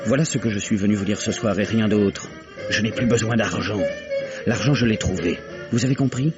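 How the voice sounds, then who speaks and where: A man acts a curt, defiant fellow with a fairly low voice. He is stern and firm in old radio drama style, on a dull recording with music. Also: a little fast, close to an everyday voice. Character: curt, defiant man resisting a scheming admirer. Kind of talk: vintage French radio drama acting.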